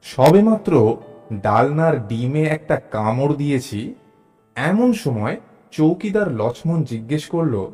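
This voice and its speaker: A man narrating a scripted audio story in a measured, expressive storytelling manner. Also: fairly high pitch, soft background music. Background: musical background.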